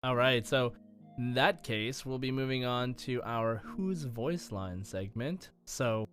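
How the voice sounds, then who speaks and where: Music runs behind a man with a mid-pitched voice. He talks casually as a podcast co-host, presenting a video game quiz in a conversational way. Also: takes it slowly, a bit bright.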